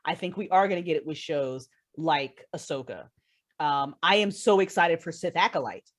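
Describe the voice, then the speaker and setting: A woman guest, a writer, talking casually on a podcast in an animated, opinionated, sweary way. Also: low-pitched, moderate pace. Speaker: guest, writer and creator of an audio drama.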